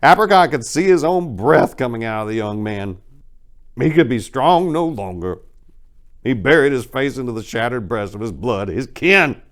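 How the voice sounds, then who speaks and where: A man's voice: mid-range voice, lively intonation, normal speed. A man reading fiction dramatically and talking to listeners in an emphatic, colloquial, folksy way. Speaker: author reading their own crime fiction, show host. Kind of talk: dramatic story reading and informal commentary to listeners.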